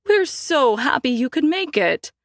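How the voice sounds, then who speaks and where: A woman narrator puts on a meddling older mother in a storybook reading. Her voice rises high and sweet and drips with condescension. The sound is close and dry. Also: sing-song, measured pace, no echo, full character voice. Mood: gushing.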